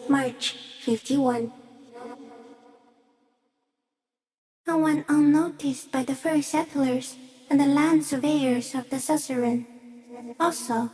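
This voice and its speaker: A woman voicing an ancient, shy giant stick insect in a video game, gentle and solemn, speaking poetically with hushed, eerie wonder. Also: high voice, vocal fry, low-quality recording. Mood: gentle, matter-of-fact. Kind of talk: slow poetic game monologue.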